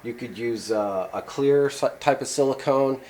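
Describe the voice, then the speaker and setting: A man's voice: mid-range voice, normal speed, a little mellow, slight background noise. A man in a home workshop giving a rambling, casual how-to talk with many fillers. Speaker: channel host demonstrating a repair.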